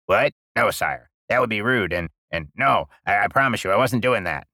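A man's voice: on the low side, lively intonation, moderate pace, gravelly, pushed and bright, no room sound, exaggerated voice. Peasant prisoner, male narrator acting: whiny, anxious, pleading stammer; comic audiobook, dry sound. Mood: protesting.

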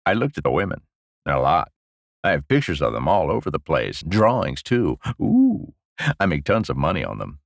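Old prisoner, male narrator acting: sly, obsequious, suggestive; comic audiobook, animated and dryly recorded. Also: very deep voice, normal speed, creaky, no room sound, clean studio sound, voice pushed down, exaggerated voice. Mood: sly, gleeful. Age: older. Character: sly, fawning, naughty old man prisoner.

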